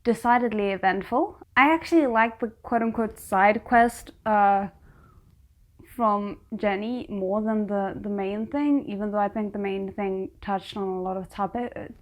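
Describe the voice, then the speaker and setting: A woman's voice: medium pitch, a little slow. A woman hosting a reaction video, talking casually about a show she just watched, thinking aloud with fillers and restarts.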